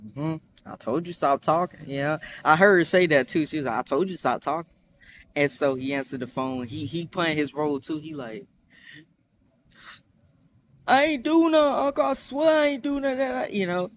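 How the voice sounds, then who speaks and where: A young man chatting casually in a storytime vlog, rambling with many fillers and restarts, acting out quotes in higher voices. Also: normal speed, a little hiss or hum. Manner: acts out quotes with high voices. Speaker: vlogger.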